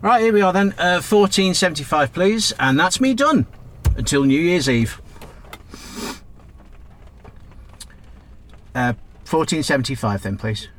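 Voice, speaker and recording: An actor playing a chatty, good-hearted but easily exasperated British taxi driver in a radio drama. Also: male voice, a little high, very animated, moderate pace, a bit bright, a little hiss or hum. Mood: cheery, chatty. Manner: chatty, colloquial, calls passenger love.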